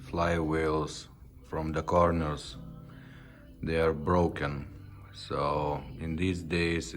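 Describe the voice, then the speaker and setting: A man giving a casual, plain-spoken product demonstration as a video host selling an item. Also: rumbling low. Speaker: video host and car radio seller.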